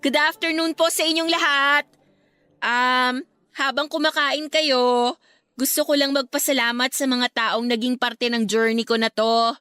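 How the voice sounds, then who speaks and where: A young Filipino woman in a radio love drama. Her voice sits fairly high and carries gratitude with a wistful, earnest edge. Soft music plays beneath her. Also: steady tone, unhurried, clean tone, no echo, clean studio sound. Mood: grateful, warm. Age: young adult. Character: grateful, wistful young woman, newly graduated, missing her late love.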